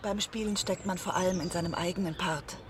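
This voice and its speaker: An actress playing a thoughtful, passionate violinist who talks reflectively in a radio drama. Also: female voice, fairly low pitch, steady tone, fairly quick, natural voice. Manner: reflective, explanatory. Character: thoughtful, passionate female string quartet violinist. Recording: clear ambient noise behind.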